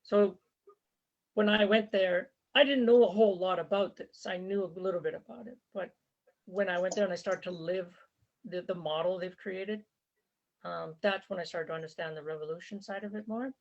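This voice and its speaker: A woman's voice: fairly low pitch, moderate pace. Earnest woman on a dull recording. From born in Zambia, raised in Canada. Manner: earnest, conversational, with fillers and restarts. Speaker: interview guest, former volunteer fighter. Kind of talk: casual spoken interview.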